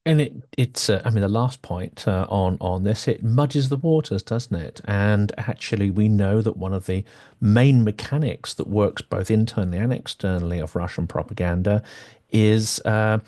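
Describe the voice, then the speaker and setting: Low-voiced, thoughtful interview host. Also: male voice, normal speed, mellow. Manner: thoughtful, builds points with tag questions. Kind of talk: conversational interview.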